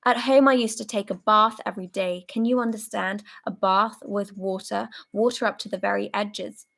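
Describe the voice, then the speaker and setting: Woman voicing a hoarse, ranting soldier. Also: mid-range voice, steady tone, normal speed, fairly bright tone. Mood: anguished. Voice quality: hoarse, quivering. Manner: agitated outburst, pressing and accusing. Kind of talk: story read aloud.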